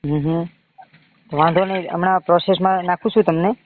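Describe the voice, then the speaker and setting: Fast, high-voiced man with Gujarati accent. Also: steady tone, crowd noise. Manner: insistent, pushy, repetitive. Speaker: phone caller claiming to be a bank loan agent. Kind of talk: phone sales conversation. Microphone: phone line. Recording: muffled narrow-band phone call recording.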